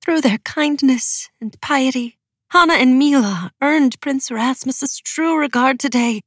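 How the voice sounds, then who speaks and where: A woman voicing a gentle, protective middle-aged mother in an audiobook, with a soft, earnest lilt. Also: sits high, measured pace, no echo, studio quality, voice pushed up. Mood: hopeful.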